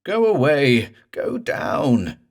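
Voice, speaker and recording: A man's voice: medium pitch, sing-song, very slow, voice pushed up. A man voicing a terrified man in a boat whose voice goes raw and screeching with panic. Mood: frantic.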